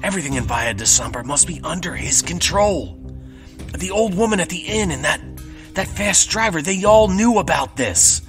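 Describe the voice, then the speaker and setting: A frightened young man trapped in a vampire's home thinks aloud in an anxious, stammering way. His voice sits a little high and rises and falls a lot. Music plays under his words. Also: animated, moderate pace, fairly bright tone. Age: young adult. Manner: anxious, stammering inner monologue.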